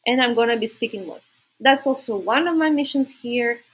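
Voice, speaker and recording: Russian accent woman chatting on a livestream, kinda high husky voice, talks right to viewers. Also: normal speed, low-quality recording. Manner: informal, addresses viewers directly. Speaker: livestream host. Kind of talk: casual livestream chat.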